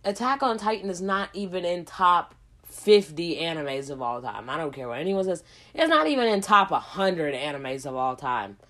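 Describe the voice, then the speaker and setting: A woman hosting a casual solo podcast, hoarse from a cold, rambling in a slangy way with lots of asides. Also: on the low side, animated, normal speed, a crowd in the background. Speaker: podcast host.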